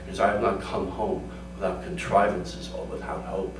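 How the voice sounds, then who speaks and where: A man's voice: sits low, flat delivery, moderate pace, background noise, voice pushed down, natural voice. A man reading a story in the part of a proud, stubborn, weary old seafarer with a folksy, rhetorical way of talking. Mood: resolute. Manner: folksy sailor idiom, rhetorical. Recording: roomy live event, distant mic.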